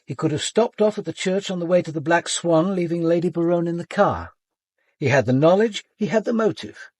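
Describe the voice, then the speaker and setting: An actor playing a composed, probing senior British police commander in a radio drama, speaking in a measured, formal, reflective way. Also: male voice, a little high, lively intonation, darkish tone, slightly rough, no room sound. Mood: speculative, measured. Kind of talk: radio drama acting with first-person narration.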